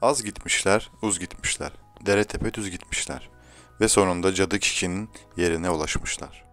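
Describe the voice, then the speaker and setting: A man's voice: deep voice, normal speed, a bit bright, soft background music. A man reading a scripted fairy tale to children as a storyteller.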